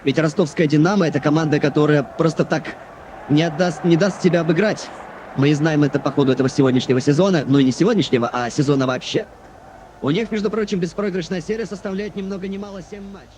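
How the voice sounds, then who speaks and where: Man acting higher-voiced football commentator on noisy TV. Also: quick pace, darkish tone, close to an everyday voice. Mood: engaged. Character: chatty TV football commentator around year 2000. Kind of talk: sports commentary. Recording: noisy old VHS television broadcast.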